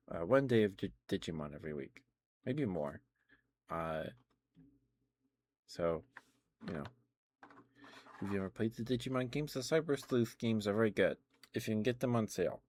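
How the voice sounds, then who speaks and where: A man with a notably low-pitched voice hosts a casual ASMR livestream. He talks in a loose, meandering way, often circling back to correct himself. The delivery is informal gamer chat, unscripted and conversational. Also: moderate pace, pauses often, darkish tone. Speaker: livestream host. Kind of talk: casual rambling ASMR stream chat about video games.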